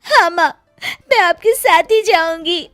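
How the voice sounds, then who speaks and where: Woman as earnest, very high-voiced young bride. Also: measured pace, no echo, studio quality, faint music behind. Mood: resolved. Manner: pleading, earnest, soft-hearted. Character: gentle, hurt, caring young daughter-in-law with superpowers. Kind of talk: acted story dialogue.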